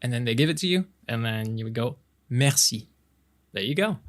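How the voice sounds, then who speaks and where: A man's voice: mid-range voice, expressive, natural voice. A French Canadian man, a native French speaker, playing a friendly, playful young man who casually tutors his childhood friend in an intimate romance ASMR roleplay. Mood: playful, patient. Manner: halting, encouraging, often chuckling, drawn-out demonstrations. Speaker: voice actor in a romantic audio roleplay.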